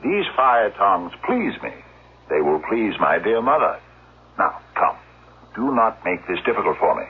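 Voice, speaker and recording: An actor in a 1970s radio drama playing a menacing, oddly courteous robber, haltingly polite yet coldly insistent. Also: male voice, fairly low pitch, very animated, slightly rough, phone-quality sound, heavily acted. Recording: noisy, thin vintage radio recording.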